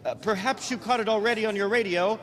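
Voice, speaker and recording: Radio play casting: man to play an excitable, stammering field reporter, high-pitched and horrified, heard in a reverberant hall. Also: fast talker. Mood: excited, curious. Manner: breathless, stammering, hesitant live commentary. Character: excitable, horrified male radio news reporter at a disaster scene. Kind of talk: old-time radio drama eyewitness reporting.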